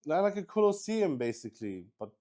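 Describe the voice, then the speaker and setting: A man hosting a solo roleplaying game, narrating casually as he improvises, halting, repeating himself and swearing mildly in an excitable way. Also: high-pitched.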